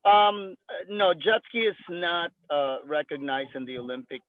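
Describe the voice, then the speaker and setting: A man's voice: on the high side, steady tone, a little slow, no room sound. A man in his fifties from the Philippines, a jet ski racer giving an interview, talking loosely with many fillers and restarts. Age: 50s. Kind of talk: interview, casual talk. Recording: tinny phone-like line.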